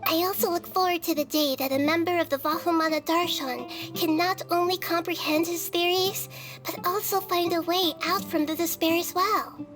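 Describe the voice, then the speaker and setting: A high, childlike voice of a small goddess of wisdom. The delivery is serene and measured, formal like a philosopher, as in a video game, with music playing behind it. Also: female voice, steady tone. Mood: serene, wise.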